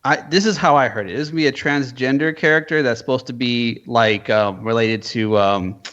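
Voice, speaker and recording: A man's voice: mid-range voice, a little fast, fairly bright tone, dull audio. A man co-hosting a casual podcast, rambling and hedging with lots of fillers.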